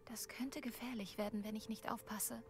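An actress voicing an earnest young student witch in a video game, speaking clearly. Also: fairly high pitch, steady tone, normal speed, lightly acted. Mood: wary. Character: young female student witch, game hero casting spells. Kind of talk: scripted game voice acting. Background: game music.

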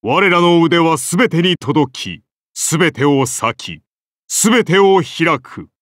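A man's voice: fairly high pitch, expressive, slow pace, fairly bright tone, no room sound, clean studio sound. A man voicing a godlike founder-king and lancer of Rome in an anime game, grand, solemn and declamatory. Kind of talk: anime game battle voice lines.

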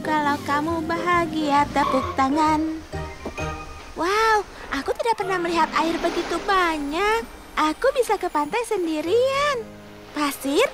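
A woman's voice: extremely high pitch, heavily acted. A woman voicing a cheerful, bold little girl car in a preschool cartoon, with singsong, exclamatory speech. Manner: singsong, exclamatory, addresses things playfully. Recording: music under the voice.

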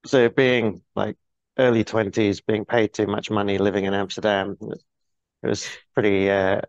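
Man, 40s, low gravelly voice, candid and rambling, dull dry video-call sound. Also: level tone, normal speed, no echo. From born in New Zealand, grew up in the UK. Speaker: guest, business board coach. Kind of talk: casual interview talk. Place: small bright room, indoors. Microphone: earphone mic on a video call.

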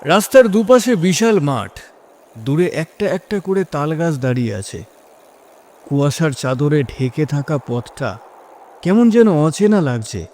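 Higher-voiced man narrating horror. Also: sing-song, unhurried, a little mellow. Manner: dramatic, suspenseful. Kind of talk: scripted first-person horror story narration.

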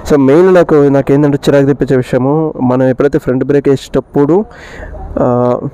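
A man's voice: mid-range voice, fairly even intonation, fairly quick, warm tone, poor audio. A man with a Telangana Telugu accent, chatting casually as a motovlogger and mixing English words into his speech. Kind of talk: casual vlog chat. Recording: vehicle and ambient noise behind. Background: faint vehicle noise.

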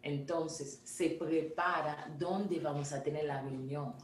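A woman in her forties from Haiti speaks on a video call from a home studio, set back from the mic in a roomy, echoey space with faint ambient hiss. Her voice sits fairly low for a woman, and she explains earnestly, pausing to restart a word now and then. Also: fairly even intonation, far from the mic. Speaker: image consultant guest. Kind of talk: remote interview over video call. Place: home studio room indoors. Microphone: video call from a laptop camera.

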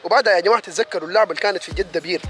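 Rapid-talking man vlogging. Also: high voice, fairly bright tone, soft background music. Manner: rapid, enthusiastic, addresses viewers directly. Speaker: vlogger reviewing a city event. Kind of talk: casual chat to viewers.

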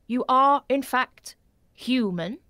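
A man reading aloud, voicing a nervous, courtly male faun in a high, lifted voice with fretful, old-fashioned politeness. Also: takes it slowly, bright, forceful tone, no echo, heavily acted. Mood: fussy polite. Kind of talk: audiobook character voice.

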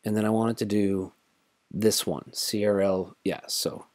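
A man's voice: fairly low pitch, steady tone, measured pace, darkish tone, no room sound. Tired man rambling through a tutorial. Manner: rambling, thinks aloud with many fillers. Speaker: crypto tutorial host. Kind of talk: casual screen-recorded walkthrough.

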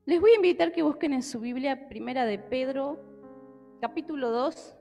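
A woman's voice: high voice, normal speed, creaky, warm tone, soft background music. A young woman from Argentina with an Argentine accent, preaching a sermon on a handheld mic in a church hall and often checking in with her listeners. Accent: Argentine Spanish. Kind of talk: sermon with Bible reading. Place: church hall with a stage, indoors. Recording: long church hall reverb.

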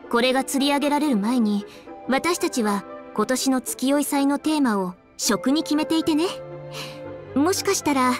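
A woman voicing a proud, refined, dutiful young lady official in an anime game, with poised feminine speech. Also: sits high. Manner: poised, formal feminine speech, flustered when teased. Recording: faint music behind.

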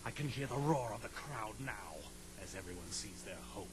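An actor playing a smug, sadistic racer villain in a video game, taunting and dropping into menacing whispers. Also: male voice, lowish voice, lively intonation, moderate pace, natural voice. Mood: gloating menace. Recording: clear background noise under dialogue.

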